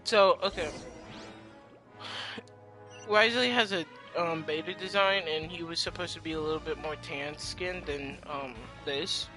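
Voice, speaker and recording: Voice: medium pitch, measured pace, music in the background, low-quality recording. A teenage streamer giving casual gameplay commentary, informal and rambling, reacting aloud.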